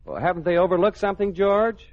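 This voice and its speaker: An actor playing a breezy, teasing bachelor friend who needles flippantly, in 1940s radio comedy. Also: male voice, sits high, animated, fast. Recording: muffled, hissy old radio recording.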